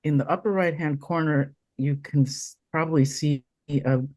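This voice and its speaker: A woman from Taiwan, hoarse from a cold, reading her poem slowly and deliberately over a video call. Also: deep voice, steady tone, a little mellow, dry recording. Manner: slow, deliberate recitation with pauses. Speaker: poet reading her work. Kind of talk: poetry reading.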